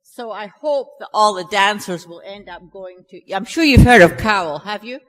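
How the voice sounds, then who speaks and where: A woman's voice: mid-range voice, expressive, normal speed. A Scottish woman chatting to a live audience before she sings, nervous and self-deprecating, with many restarts. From Scotland. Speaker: festival singer introducing a song. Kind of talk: chatty stage talk to an audience. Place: church hall. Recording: live recording in a reverberant hall.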